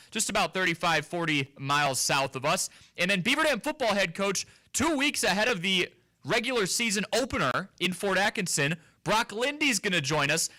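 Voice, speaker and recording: A man hosting a local sports radio show, delivering promos and banter with energy and quick laughs in the studio. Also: fairly high pitch, pushed and bright.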